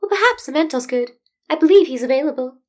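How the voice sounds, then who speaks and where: Woman voicing a high, polite animal principal. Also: lively intonation, quick pace. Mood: coaxing. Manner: diplomatic, gently insistent. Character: polite, probing animal school principal, male, well-meaning. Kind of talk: fan fiction audiobook character voice.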